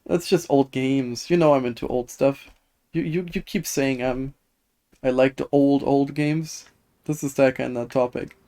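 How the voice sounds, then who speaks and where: A man live streaming a video game, chatting casually with viewers in a hesitant, halting way that often repeats words. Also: mid-range voice, steady tone, dark tone. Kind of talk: casual chat while gaming, reading and answering viewer comments. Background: video game music.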